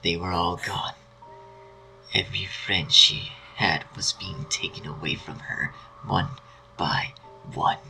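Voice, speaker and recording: Male narrator, deep breathy delivery, dramatic scripted reading with prominent music underneath. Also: fairly even intonation, takes it slowly, sharp tone, rough recording.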